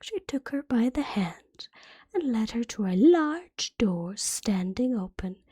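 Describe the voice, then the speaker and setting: A woman reading a fairytale as ASMR in a soft, breathy whisper, gently and carefully, like a bedtime storybook. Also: on the high side, sing-song, takes it slowly, no room sound, clean studio sound.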